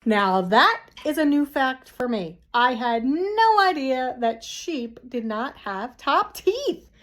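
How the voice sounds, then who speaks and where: A woman reading aloud to young children in an expressive, theatrical way, with exaggerated reactions. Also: sits high, takes it slowly. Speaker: children's story reader.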